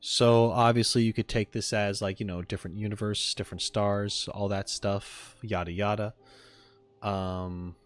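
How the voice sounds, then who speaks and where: A man's voice: fairly low pitch, level tone, moderate pace. A man giving casual, off-the-cuff streamer commentary, musing and thinking aloud as he goes. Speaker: streamer reacting to game trailers and voice lines.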